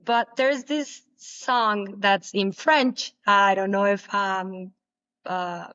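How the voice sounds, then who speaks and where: A young woman whose native language is Spanish, answering interview questions casually, with many halting restarts. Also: mid-range voice, steady tone, fairly bright tone, clean tone, no echo, dull audio. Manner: halting, repeats words, laughs often. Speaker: guest, pole dancer.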